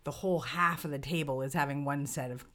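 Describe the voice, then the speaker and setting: A woman's voice: low voice, quick pace. A woman co-hosting a casual conversation, thinking aloud and posing questions. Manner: thoughtful, poses questions, lively emphasis. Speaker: podcast co-host.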